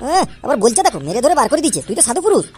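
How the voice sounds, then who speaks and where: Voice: sits high, big pitch swings, very fast, breathy edge, full character voice. A performer voicing a scornful, threatening father in a comic cartoon, sarcastic and hectoring. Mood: sarcastic scolding. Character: scornful, threatening father scolding his little son.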